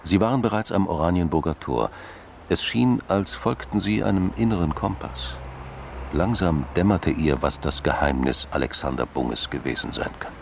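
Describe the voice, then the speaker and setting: Male narrator, scripted crime drama, deep voice, creaky fry, noticeable background noise. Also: lively intonation, moderate pace.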